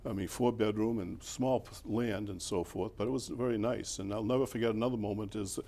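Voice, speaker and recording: An older man with a Boston accent, a former mayor recalling his life in a relaxed, rambling interview with fillers and chuckles. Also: on the low side, brisk.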